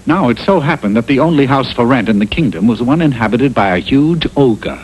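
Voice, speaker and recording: Man, wry storybook narration, middling pitch, very animated delivery, faintly noisy old TV tape. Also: brisk. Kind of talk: tongue-in-cheek storybook cartoon narration. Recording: old taped TV cartoon soundtrack, faint noise.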